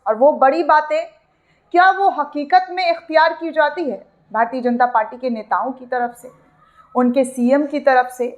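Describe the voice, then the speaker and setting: A woman's voice: high-pitched, moderate pace. A woman presenting opinionated news commentary in an emphatic manner, repeating phrases and posing rhetorical questions. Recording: dull, lo-fi sound.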